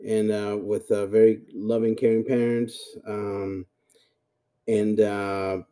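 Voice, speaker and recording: A man in his forties from El Salvador, giving a reflective interview in a halting, thoughtful and polite manner. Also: lowish voice, level tone, mellow. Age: 40s. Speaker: podcast guest.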